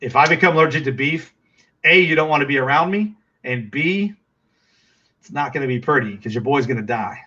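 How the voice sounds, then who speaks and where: A man's voice: a little high, expressive, fairly quick, pushed and bright, dull audio. A middle-aged man with a Texas accent, chatting casually with viewers on a livestream, rambling with lots of fillers and restarts.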